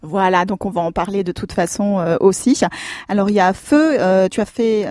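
A woman's voice: mid-range voice, normal speed, mellow, dry recording, studio quality. A woman interviewing a singer casually, stumbling over her questions and correcting herself. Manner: informal, stumbling, self-correcting.

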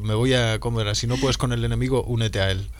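A man hosting a relaxed interview, asking short questions and joking with his guest. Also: medium pitch, fast talker, a little hiss or hum. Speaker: podcast host. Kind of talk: casual interview chat.

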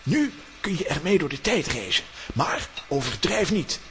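An actor playing an irritable but helpful male professor in a children's radio play, brisk and lecturing. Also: a little high, sing-song. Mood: irritated, instructive. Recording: clearly noisy recording with clicks.

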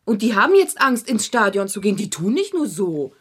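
A curt, husky-voiced woman journalist who has had enough of her boyfriend. She speaks in a natural radio drama style, blunt and matter-of-fact with a sarcastic edge. Her pitch is mid-range. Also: very animated, fairly quick. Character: curt, fed-up newspaper journalist girlfriend.

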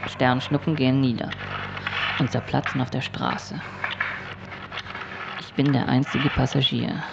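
A man playing a haunted, disoriented narrator of a surreal radio drama, gravelly and speaking in deliberate, broken phrases. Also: mid-range voice, flat delivery. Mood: disoriented, uneasy. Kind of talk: surreal first-person radio drama monologue. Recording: dull lo-fi recording, loud background noise.

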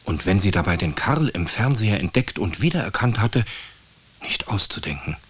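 A male radio drama narrator with a low, slightly rough voice. He reads a scripted story in measured storytelling style. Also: very animated.

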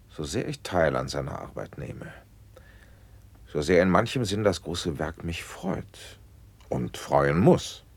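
Impatient prince, husky low voice, dry sound, stately verse declamation, classic radio play. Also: male voice, darkish tone, no room sound, heavily acted. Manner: stately, elevated, rhetorical delivery. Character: impatient, commanding Renaissance prince, a brother.

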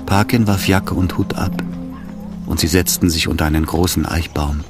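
A man's voice: low voice, moderate pace. A man reading scripted literary narration for a radio drama. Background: music.

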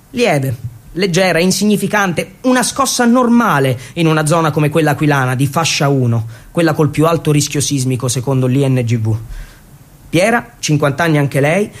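High-voiced man telling a dramatic story. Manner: dramatic, irreverent delivery with emphatic pauses. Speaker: stage storyteller and actor. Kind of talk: theatrical narrative monologue for radio.

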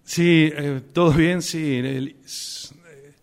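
Guy playing a hesitant polite man in a radio play, creaky voice, slow and stumbling over words. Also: on the high side, steady tone. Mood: flustered politeness. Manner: halting, stammering. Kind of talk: improvised radio theatre exercise.

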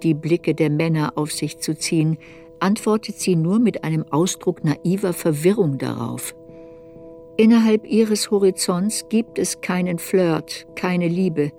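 Woman reading literary radio play narration, measured n reflective, music playing under it. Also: fairly low pitch, warm tone.

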